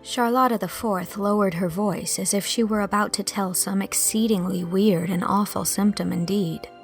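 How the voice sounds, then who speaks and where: A woman's voice: mid-range voice, fairly even intonation, moderate pace, soft background music. A woman reading scripted literary narration as an audiobook narrator.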